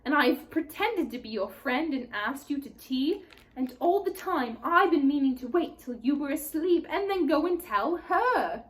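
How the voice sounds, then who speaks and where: A woman voicing a weepy, guilt-ridden male fawn in a storybook, with sobbing, drawn-out, self-reproaching speech. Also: high-pitched, lively intonation, pitched above the performer's own voice, poor audio. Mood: fearful despair. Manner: sobbing, wailing, drawn-out words.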